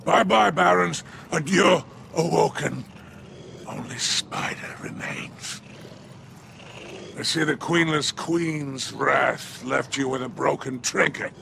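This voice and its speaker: Game villain: alien crime boss, extremely gravelly, slow, mocking, noisy ambience behind. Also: male voice, on the high side, exaggerated voice. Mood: gloating, mocking. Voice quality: gravelly, creaky. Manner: mocking, theatrical, drawn-out. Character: sly, gloating male alien crime boss. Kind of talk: video game character acting.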